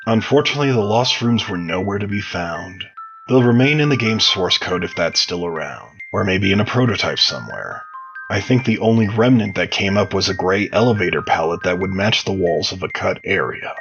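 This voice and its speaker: Male interview guest, low voice, articulate and measured explainer, scripted-sounding delivery, clear music bed underneath. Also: animated, highs cut off. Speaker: interview guest, game modder.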